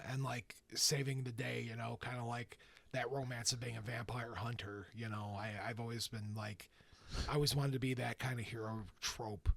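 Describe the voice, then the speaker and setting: A man's voice: mid-range voice, level tone, moderate pace, vocal fry, a bit bright, no echo. Joking, rambling man on a podcast. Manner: rambling, jokey, laughs often. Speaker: podcast guest. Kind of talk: casual chat.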